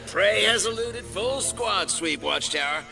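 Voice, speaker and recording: A keyed-up soldier leading a squad in an audio drama. He has a high, swooping voice and reports in clipped radio phrases under loud music. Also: expressive, a little slow, gravelly, exaggerated voice. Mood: tense, clipped. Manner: clipped military radio talk. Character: keyed-up military squad leader on field radio.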